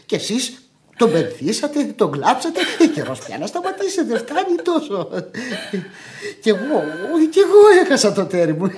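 An actor playing a fussy old widowed manservant in radio theatre, exclaiming and coaxing in a high, thin voice. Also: male voice, huge pitch swings, crowd noise, heavily acted. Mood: coaxing, exasperated. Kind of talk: Greek radio theatre acting.

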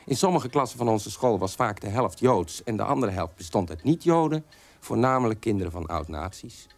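Bitter, defiant son, animated radio monologue. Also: male voice, fairly low pitch, fairly quick. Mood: recounting. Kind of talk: Dutch radio drama monologue.

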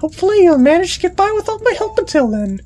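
A woman's voice: extremely high pitch, sing-song, fast, a little mellow, voice pushed up. A woman voicing a bossy, impatient little girl fairy from a video game, pushy and whiny. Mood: bossy, instructing. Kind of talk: dubbing video game dialogue.

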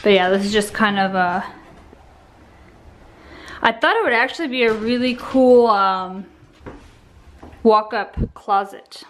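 A young adult woman casually walking through a house under renovation on a handheld phone, talking in a relaxed, rambling way with restarts. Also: mid-range voice, measured pace, pauses often, a little hiss or hum. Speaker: vlogger giving a home tour. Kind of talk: casual walkthrough narration. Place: indoors, empty rooms of a house under renovation. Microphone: handheld phone camera.

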